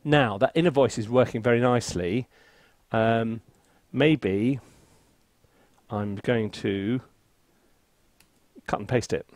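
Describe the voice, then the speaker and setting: A man's voice: mid-range voice, slow pace, long pauses, darkish tone. A man giving a casual think-aloud music tutorial in a playful, self-deprecating, chatty way. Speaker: composer presenting a music software tutorial and review. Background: orchestral sample music.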